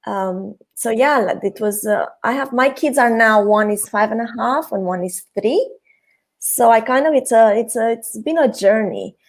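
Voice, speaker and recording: A woman's voice: on the high side, moderate pace. Chatty woman from Romania, interview guest. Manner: open, chatty, laughs lightly, many fillers. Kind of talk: casual interview talk.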